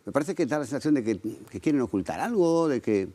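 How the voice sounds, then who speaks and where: A man from Galicia answering questions in a TV interview, measured, often ending points with a questioning tag. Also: medium pitch, sing-song, mellow. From Galicia, Spain. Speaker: interviewed candidate.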